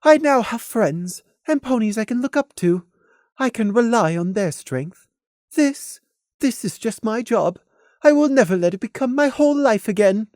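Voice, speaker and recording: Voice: medium pitch, expressive, normal speed, darkish tone, dry recording, clean studio sound, voice pushed up, close to an everyday voice. A narrator voicing a refined young mare, a model who speaks with earnest, theatrical self-reproach and resolve.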